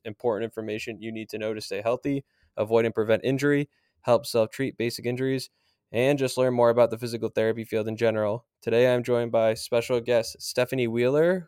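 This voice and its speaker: A man hosting an interview podcast, talking in a relaxed, friendly way with frequent fillers. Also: mid-range voice, clean tone, no room sound, studio quality. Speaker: podcast host.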